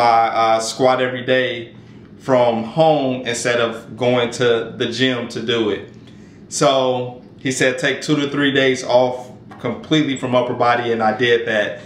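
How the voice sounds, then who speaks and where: A man in his thirties casually talking to camera about fitness from his home kitchen, relaxed and conversational with frequent fillers and restarts. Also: mid-range voice. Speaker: fitness vlogger. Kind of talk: casual vlog talk to camera. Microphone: camera mic at arm's length. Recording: echoey room, faint background noise.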